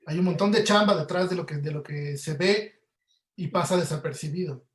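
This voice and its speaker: A man's voice: on the high side, normal speed. A man with a Mexican accent, giving conversational answers as a guest audio producer in an online webinar. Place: indoor room, online webinar call. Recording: roomy, echoey sound.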